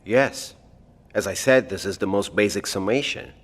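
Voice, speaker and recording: A man's voice: fairly low pitch, moderate pace, breathy edge. A man playing a precise, pedantic scientist in an audio drama, speaking formally. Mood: pedantic, measured. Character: precise, pedantic male secret project scientist. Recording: long hollow hall reverb.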